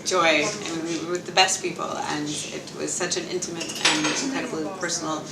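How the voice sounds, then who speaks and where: A woman's voice: sits low, flat delivery, moderate pace, vocal fry. A woman, a bootcamp graduate, talking about the course with emotional enthusiasm. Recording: echoey room, distant mic, crowd noise. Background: crowd chatter.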